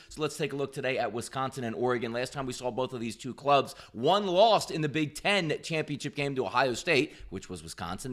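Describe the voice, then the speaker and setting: A man's voice: mid-range voice, a bit bright. A man hosting a sports betting picks show, delivering commentary in an upbeat, emphatic, salesman-like way.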